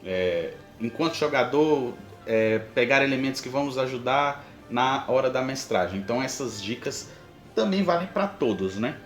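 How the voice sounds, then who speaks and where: A man's voice: medium pitch, lively intonation, moderate pace, faint music behind. Brazilian man in a roomy echo. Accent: Brazilian Portuguese. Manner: explanatory, informal, addresses the listener directly. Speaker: podcast host. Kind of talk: conversational advice with examples.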